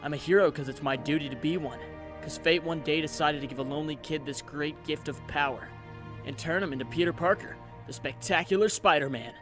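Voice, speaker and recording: Guy doing a young superhero in a comic dub, kinda high voice, earnest and dramatic, music pretty loud behind him. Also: fairly quick, lightly acted. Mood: earnest, tender. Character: earnest young male superhero, lovestruck and torn about identity.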